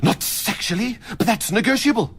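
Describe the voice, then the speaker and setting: An actor playing a cheerfully incompetent, leering male quack psychiatrist in a radio comedy sketch, rambling and excitable. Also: high-pitched, normal speed, husky, exaggerated voice. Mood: gleeful, rambling.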